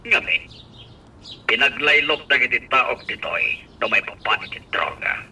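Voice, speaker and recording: An actor playing a hard, calculating crime boss in a radio drama, a little off the mic with some noise. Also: male voice, mid-range voice, moderate pace, sharp tone, distant mic. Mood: defensive.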